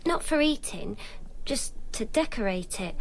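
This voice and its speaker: A woman's voice: on the high side, expressive, unhurried, poor audio. An actress playing a nervous, timid young kitchen slave girl being questioned, answering defensively in blunt, ungrammatical speech. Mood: wary. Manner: nervous, defensive, plain-spoken with non-standard grammar. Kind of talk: BBC radio drama acting.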